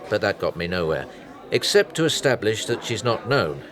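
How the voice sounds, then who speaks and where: An actor playing a chatty British private detective in a naturalistic radio drama, wry and anecdotal. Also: male voice, lowish voice, big pitch swings, moderate pace, crowd noise. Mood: affable.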